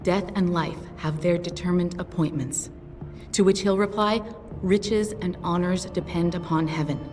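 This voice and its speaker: A tough, stubborn female pilot from a video game, voiced by a woman with a lowish, clipped delivery and plenty of swearing. The voice sits back from the mic in a long reverb, with music underneath. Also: fairly even intonation, moderate pace, darkish tone, distant mic, lightly acted. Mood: earnest. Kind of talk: video game cutscene dialogue.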